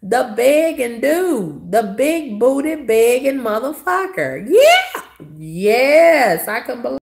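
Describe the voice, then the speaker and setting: A hoarse woman with a cough, telling a story to her viewers in a blunt, profane, dismissive way. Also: high-pitched, sing-song, takes it slowly. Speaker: vlogger.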